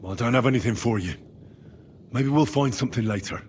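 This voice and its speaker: Man playing a plain-spoken young adventurer, medium pitch. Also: a little fast, a little hiss or hum, natural voice. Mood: businesslike. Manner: straightforward, down-to-earth. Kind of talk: video game dramatic acting.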